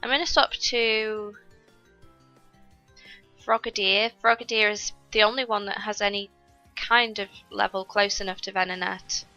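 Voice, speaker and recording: Bright-voiced woman narrating her gameplay. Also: mid-range voice, fairly even intonation, unhurried, pauses often, muffled recording, faint music behind. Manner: chatty, explains each step to viewers. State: recovering from a cold. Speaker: gaming YouTuber. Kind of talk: casual gameplay commentary.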